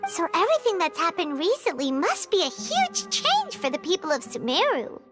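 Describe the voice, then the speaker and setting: A woman's voice: very high voice, wildly swooping pitch, moderate pace, over music, exaggerated voice. A woman voicing a small, childlike, chatty and nosy fairy companion in a video game, with a cutesy manner. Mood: curious, chatty. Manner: speaks of self in third person, cutesy.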